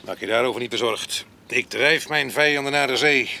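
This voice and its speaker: An actor playing a rough, wild man with a past in a radio drama, mocking and blustering in a coarse way. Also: medium pitch, fairly quick, pushed and bright. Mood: boastful. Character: rough, wild, taunting man with a past, vagabond grape picker. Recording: noisy 1980s radio drama with outdoor ambience.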